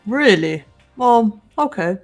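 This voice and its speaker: A performer voicing a composed, formidable young female fighter in a fan game playthrough, slow, deliberate and declamatory. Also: medium pitch, lively intonation, soft background music. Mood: calm inquiry. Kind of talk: amateur fully voiced game playthrough.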